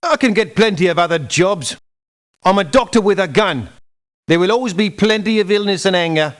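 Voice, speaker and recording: An actor voicing a proud, sardonic doctor turned mercenary in a video game, haughty and scolding. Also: male voice, high-pitched, animated, brisk. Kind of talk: video game character lines.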